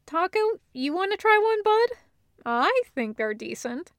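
A woman's voice: extremely high pitch, very animated, unhurried, no room sound, clean studio sound, voice pushed up, heavily acted. Woman voicing an upbeat, rambling guy. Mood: eager, rambling. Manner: rambling, casual, hesitant asides. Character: cheerful, eager, casual man bringing snack cakes.

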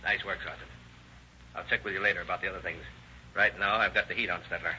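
An actor playing a shrewd police lieutenant, sardonic and pressing, in an old radio crime drama interrogation. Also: male voice, deep voice, fairly even intonation, fast, sharp tone. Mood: brisk, needling. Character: shrewd, needling, hard-driving male police lieutenant interrogator. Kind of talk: 1930s-1940s radio crime drama acting. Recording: dull, noisy old radio recording.

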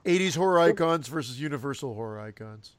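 A man's voice: mid-range voice, animated, fast talker. A man co-hosting a casual podcast, cutting in with jokes. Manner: jokey interjections. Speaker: podcast co-host. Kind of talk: casual chat.

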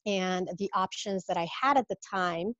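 A woman's voice: medium pitch, normal speed, a bit bright, no echo, muffled recording. A woman in her fifties from Mexico, a business coach, talking through her career in a casual interview with many fillers and restarts.

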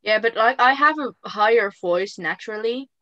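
A woman's voice: fairly high pitch, normal speed, pushed and bright, no room sound, dull audio. A woman chatting casually with a co-host about favorite songs, often giggling. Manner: giggly, breaks into singing lines. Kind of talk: casual fan chat.

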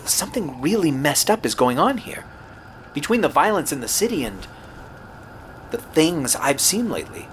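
A man's voice: on the high side, very animated, normal speed, a little hiss or hum, low-quality recording. A man playing an earnest, stubborn young rookie radio DJ in an audio horror drama, sometimes hesitant and pleading. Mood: wary, defiant. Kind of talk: dramatic audio horror acting, naturalistic dialogue.

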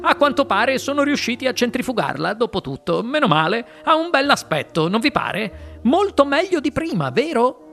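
A narrator voicing an eccentric, playful male candy maker in an audiobook, with a lively, theatrical delivery. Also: normal speed, few pauses, faint music behind. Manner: lively, theatrical, raised pitch. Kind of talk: audiobook character voice.

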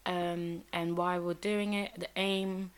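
A young British woman co-hosting a podcast, relaxed and unhurried as she explains things at length. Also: on the low side. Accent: British English. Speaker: podcast co-host. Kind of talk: casual chat.

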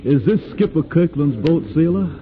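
An actor playing a polite, earnest runaway slave longing for freedom in an old-time radio drama. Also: male voice, fairly high pitch, moderate pace, close to an everyday voice. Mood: determined. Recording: muffled, noisy old radio recording.

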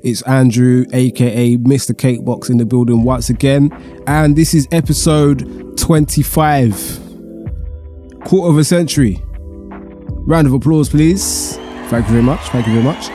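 A man hosting a podcast in a chatty, rambling way, his voice husky and stuffy-nosed from a cold. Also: medium pitch, fairly even intonation, moderate pace, dark tone, faint music behind. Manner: chatty and rambling, with many fillers. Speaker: podcast host. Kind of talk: casual solo podcast monologue.

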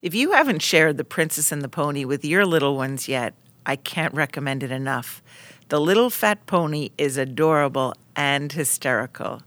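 A woman podcast host reading scripted introductions in a clear, presentational way. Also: deep voice, animated, moderate pace, a bit bright, no room sound.

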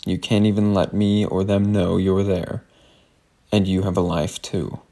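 A man reads a scripted letter aloud in an earnest, deliberate way. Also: deep voice, level tone, vocal fry, mellow. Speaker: reader. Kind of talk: scripted dramatic reading of a letter.

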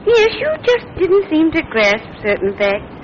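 An American actress playing a sweet, scatterbrained older wife and mother, gentle and guileless, in 1940s radio drama. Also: sits high, sing-song, a little slow. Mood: fondly guileless. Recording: muffled, noisy old radio recording.

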